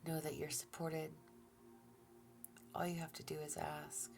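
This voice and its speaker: A low-pitched woman with a slightly gravelly texture gives a calm, reassuring tarot reading. She speaks softly, often falling almost to a whisper, and sounds a little stuffed up. Faint music plays underneath. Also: unhurried. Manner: soft-spoken, often drops to a near-whisper; gentle and reassuring, with fillers and restarts. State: stuffy nose. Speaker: tarot reader. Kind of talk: relaxed tarot reading to viewers.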